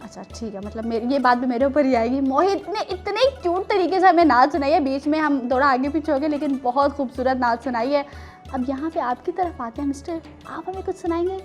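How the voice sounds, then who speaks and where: A woman's voice: high-pitched, a little fast, faint music behind. A Pakistani-accented woman hosting a children's show, speaking in an encouraging, teacherly way to young guests and viewers. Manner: encouraging, teacherly, with question prompts.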